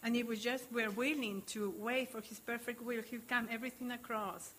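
A woman's voice: a little high, fairly even intonation, normal speed, a bit bright, slight background noise. Earnest woman missionary from Chile. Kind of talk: church testimony.

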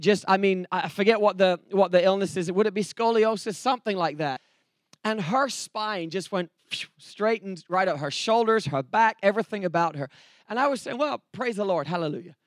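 A man's voice: sits high, normal speed, dry recording, clean studio sound. A man from England with an English accent, preaching a sermon through personal testimony in an earnest storytelling way with joking asides. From England, later Texas. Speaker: preacher, evangelist.

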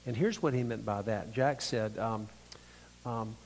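A middle-aged man with a thin voice gives an earnest, reflective sermon as a conference speaker, often pausing and restarting his phrases. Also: lowish voice, darkish tone, a little hiss or hum. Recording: long hall reverb.

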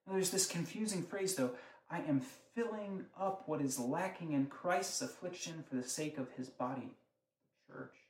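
A man's voice: a little high, normal speed. A man preaching a sermon, teaching in a conversational way with frequent rhetorical questions. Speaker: pastor. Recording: echoey room.

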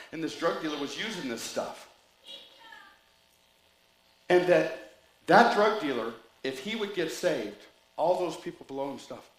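Rambling man preaching in an echoey hall. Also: a little high. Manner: rambling, joking asides. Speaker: pastor. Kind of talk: sermon.

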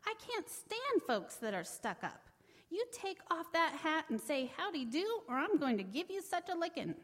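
A woman voicing a boastful, quick-tempered male rabbit in a high, loud voice. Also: animated, big-room sound. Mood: indignant. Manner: loud, indignant, high-pitched.

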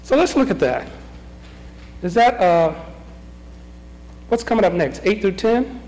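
Middle-aged man, high bouncy voice, runs a Bible class with questions and chuckles, long room reverb. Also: animated, a little slow, darkish tone. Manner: questioning, chuckling, restarts often. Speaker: Bible study leader. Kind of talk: leading a group Bible discussion.

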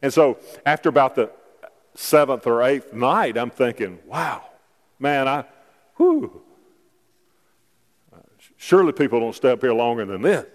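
A man pastor preaches to his congregation at a middle pitch. He talks through his points casually, slips into personal stories, then lifts into emphatic calls to the church. The sound carries a long reverb from the sanctuary. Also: lively intonation, measured pace, pauses often. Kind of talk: sermon.

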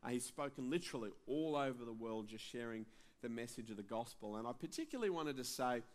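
A man's voice: medium pitch, lively intonation, fast talker. A man, the pastor, introducing a guest speaker at a church service, earnest with some hesitant fillers.

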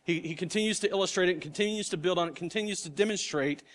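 A man's voice: high voice, rapid-fire. A middle-aged male pastor preaching a sermon in an earnest, conversational way, often restarting his words. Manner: earnest, conversational preaching with repeated word starts.

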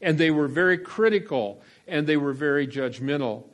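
An older male pastor delivering a folksy sermon, full of fillers and asides, swinging between quiet remarks and loud calls to the congregation. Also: a little high, moderate pace. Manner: folksy, meandering preaching with frequent fillers.

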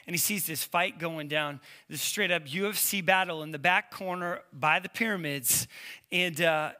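A man's voice: a little high, bright, forceful tone. A man with a lisp giving a casual, conversational sermon as a preacher, with informal, slangy storytelling. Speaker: preacher, youth ministry leader.

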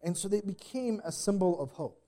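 Pastor with a cold, dark mellow voice. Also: male voice, expressive, a little fast. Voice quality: extra deep voice today. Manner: casual, rambling delivery with many fillers and restarts. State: sick with a cold, slight cough. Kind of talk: sermon. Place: church.

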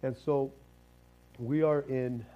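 A man with a hoarse, raspy voice, preaching a casual sermon with jokes and a rambling, self-interrupting way of talking. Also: mid-range voice, steady tone, takes it slowly, highs cut off. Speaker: pastor. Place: church sanctuary.

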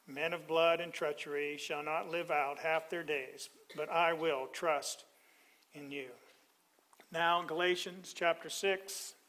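A middle-aged male pastor preaching a sermon, animated and joking with the congregation. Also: a little high, slow.

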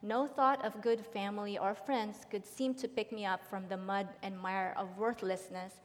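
A woman's voice: medium pitch, steady tone, normal speed. Middle-aged woman speaking in a reverberant hall. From Philippines. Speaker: church member giving testimony. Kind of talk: prepared personal testimony. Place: large church hall.

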